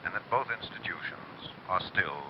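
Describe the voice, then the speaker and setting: A man playing a droll, theatrical radio host with measured 1930s announcing. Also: deep voice, lively intonation. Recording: dull, faintly noisy broadcast sound.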